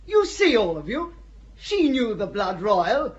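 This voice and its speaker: An actress playing the Dauphin, a weak and petulant young heir to the throne with a whiny manner. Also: female voice, mid-range voice, very animated, slow pace. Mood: triumphant. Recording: dull audio with faint hiss.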